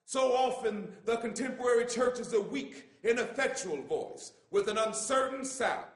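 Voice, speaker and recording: A man giving a measured, solemn, impassioned oratorical reading of a written letter. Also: very high-pitched. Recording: echoey room.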